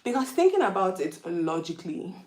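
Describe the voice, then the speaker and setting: A woman's voice: lowish voice, big pitch swings, brisk, darkish tone, roomy sound. A woman from Nigeria with a Nigerian accent, sharing her opinions in a casual, reflective monologue full of rhetorical questions. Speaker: vlogger.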